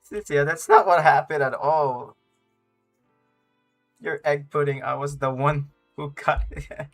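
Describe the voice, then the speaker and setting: A man's voice: a little high, steady tone, measured pace, a bit bright, soft background music. A man livestreaming a game with casual, joking commentary full of slang, sometimes reading dialogue out. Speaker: streamer.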